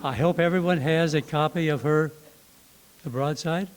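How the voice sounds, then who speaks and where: A man with a fairly high voice hosts a poetry series at a library. He reads out a formal introduction of the guest poet at a measured pace. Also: slight background noise. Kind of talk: reading a prepared introduction. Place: library event room.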